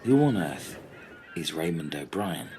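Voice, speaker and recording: A man playing a theatrical, wistful castaway in a comic radio play, musing grandly with drawn-out dramatic pauses. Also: rumbling low, big pitch swings, noisy background, heavily acted.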